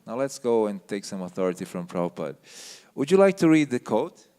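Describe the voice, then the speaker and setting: Low-voiced man lecturing a temple audience. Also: sing-song, fairly quick, dark tone, smooth. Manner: emphatic, questions the audience. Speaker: visiting speaker giving a temple talk. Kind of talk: lecture with slides. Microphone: handheld mic.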